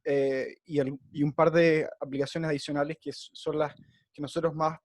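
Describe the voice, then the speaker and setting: A man's voice: on the high side, flat delivery, moderate pace, dry recording. A man with a Chilean accent, a physician guest talking semi-formally on a video call, hesitating and repeating words. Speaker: guest speaker, emergency physician.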